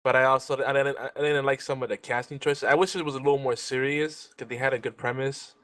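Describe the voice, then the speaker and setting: A man chatting casually as a game panelist, thinking out loud and often repeating his first words as he goes. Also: medium pitch, steady tone, quick pace. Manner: informal, thinking aloud, stammered repeats. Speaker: panelist guessing in a trivia game. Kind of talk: casual chat.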